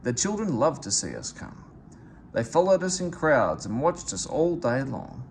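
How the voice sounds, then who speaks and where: A man voicing a sad, proud old traction engine, speaking in a wistful, gentle way, for a read-aloud storybook. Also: medium pitch, big pitch swings, measured pace, gravelly, slight background noise.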